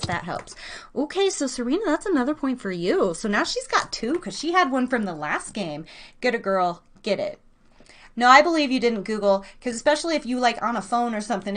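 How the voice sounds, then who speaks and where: A woman hosting a live trivia game in a casual, chatty, excitable and playful way, drawing out words for emphasis and talking to her listeners. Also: fairly high pitch.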